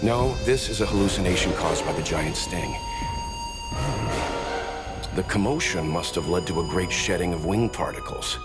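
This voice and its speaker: Man as a low, serious game companion. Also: normal speed, long pauses, loud music behind the voice, close to an everyday voice. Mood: grave. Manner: grave, matter-of-fact. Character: serious, composed, knowledgeable companion. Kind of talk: video game character acting.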